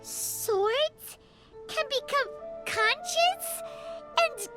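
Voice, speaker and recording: A woman voicing a tiny, childlike fairy companion in a video game, excitable and indignant, with a squeaky voice. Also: extremely slow, over music, exaggerated voice. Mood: puzzled, alarmed.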